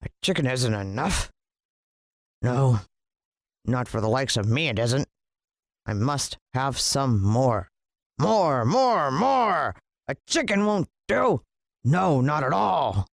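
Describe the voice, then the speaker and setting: A woman's voice: a bass voice, very animated, slow, vocal fry, pauses often, no room sound, studio quality, exaggerated voice. A woman voicing a gluttonous, cruel bearded man in a children's storybook, blustering and demanding as he bellows and mutters. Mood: fretful, greedy.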